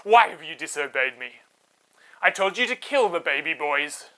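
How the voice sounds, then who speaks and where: Ruthless storybook king, played by a male storyteller: imperious, alarmed, forceful, very animated delivery. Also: fairly high pitch, poor audio. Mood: menacing. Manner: imperious, slow emphatic decrees. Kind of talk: storytelling for children.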